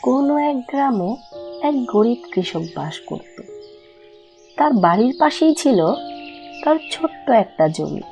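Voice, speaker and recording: A woman reads out a folk fable as narrator. Her pitch is high and moves a great deal, with clear music and chirping birds behind her. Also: very animated, a little slow, pauses often.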